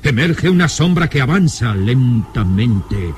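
A man narrating a gothic adventure radio serial in a solemn, suspenseful manner with deliberate phrasing. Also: medium pitch, sing-song, noisy background. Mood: solemn, ominous. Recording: old radio serial with dramatic music. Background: dramatic music.

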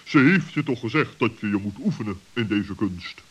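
A man playing a cautious, wise owl with a deliberate, pompous way of speaking in a children's radio play. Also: fairly low pitch, lively intonation, fairly bright tone, slightly rough, muffled recording. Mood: advising.

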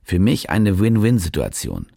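A man's voice: low-pitched, huge pitch swings, moderate pace, vocal fry, warm tone, slight background noise, exaggerated voice. A man playing a jaded war reporter who narrates his own story in a radio drama. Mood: dry, cynical. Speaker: narrator and protagonist.

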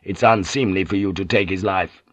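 A man's voice: sits low, normal speed, no room sound. A man voicing a wily Japanese lord, urgently persuasive and conspiratorial. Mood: deferential, pressing.

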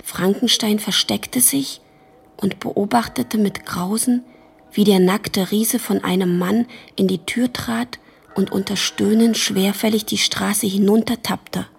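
A woman playing a grave chronicler at sea, narrating a radio drama in a husky, creaky voice. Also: medium pitch, steady tone, slow pace, heavily acted.

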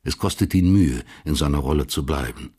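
A man narrating a German historical crime radio drama as a sober storyteller, measured and matter-of-fact. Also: a bass voice, darkish tone, breathy edge. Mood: observant.

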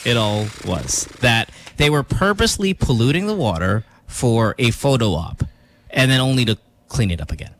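A man hosting a casual radio panel chat, talking in a joking, rambling, animated way. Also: medium pitch, moderate pace, slight background noise. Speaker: radio show host.

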